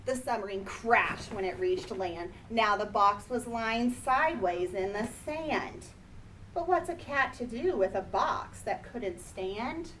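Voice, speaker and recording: Woman reading kids picture book, slow and expressive, highish voice, bit far from mic w faint noise. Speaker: storybook reader. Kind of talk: children's picture book read-aloud.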